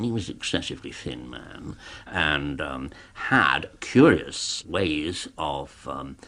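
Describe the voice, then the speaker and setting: A man speaking as an interviewee, hesitant and full of ums. His voice is very deep and husky, and his pitch moves a lot. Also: sharp tone. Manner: hesitant with many ums, growing enthusiastic. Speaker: society chairman, interviewee. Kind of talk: interview answers.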